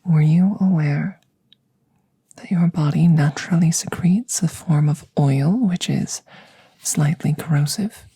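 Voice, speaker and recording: Alien scientist, played by a woman: low, breathy near-whisper, very unhurried, formal and detached, close-miked ASMR roleplay. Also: mellow, dry recording, exaggerated voice. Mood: clinical, soothing. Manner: slow, formal, precise, detached phrasing. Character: curious, clinical alien scientist tending a rescued human. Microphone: close microphone, ASMR style.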